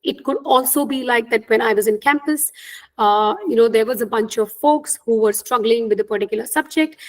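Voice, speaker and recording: A woman's voice: on the high side, rough recording. A middle-aged woman with an Indian accent, a native Hindi speaker, coaching people on job interviews in an earnest webinar talk, often checking in with "right?". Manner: earnest, frequent "right?" tag questions.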